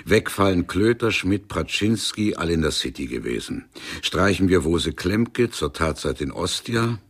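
A man's voice: sits low, animated, unhurried, heavily acted. An actor playing a grumbling, sardonic police detective in a German radio crime drama, peppering his speech with Italian. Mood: exasperated. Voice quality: gravelly, husky. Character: grumbling, impatient police detective in Rome, male.

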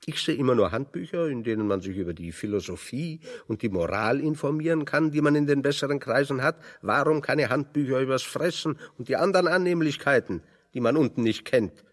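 An actor playing a wry, pessimistic exiled intellectual in a German radio drama, lecturing with pointed irony. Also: male voice, mid-range voice, animated, brisk, few pauses, close to an everyday voice. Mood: ironic lecturing. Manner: ironic, discursive, pointed.

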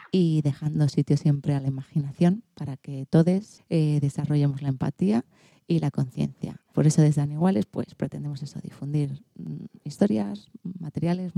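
A woman's voice: sits low, creaky, warm tone, no echo. A woman with an accent from Spain, presenting an informal radio show and explaining things to a family audience. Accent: Spanish, from Spain.

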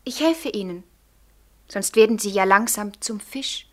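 An actress in a 1940s German radio play voicing a kind, frank young woman, earnest and gently coaxing. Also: medium pitch, unhurried, close to an everyday voice. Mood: kindly coaxing. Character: kind, concerned young girl, frank and helpful.

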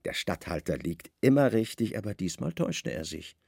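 An actor playing a guarded secret service chief in a radio play, calm, deferential and cunning. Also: male voice, on the low side, moderate pace, gravelly, slightly rough, dry recording, studio quality, full character voice. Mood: discreet.

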